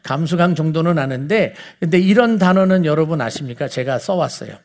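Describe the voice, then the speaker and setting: A man with a high voice and a Seoul accent teaches like a preacher talking to his congregation. He explains step by step in a conversational way, often turning to his listeners with rhetorical questions. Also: brisk, darkish tone. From South Korea. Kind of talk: conversational sermon lecture to a congregation.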